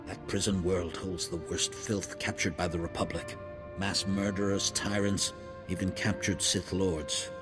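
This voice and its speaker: An actor playing a stern, distrustful Jedi master in a video game, scornful and hard-edged. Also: male voice, sits low, steady tone, measured pace. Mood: scornful, hostile. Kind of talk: video game cutscene acting. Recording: reverberant hall, loud music behind.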